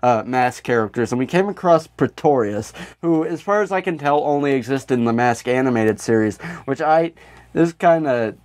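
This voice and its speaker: A man's voice: mid-range voice, animated, moderate pace, darkish tone, dry recording. A young man co-hosting a podcast, telling rambling comedic anecdotes in casual, profane chat. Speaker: podcast co-host.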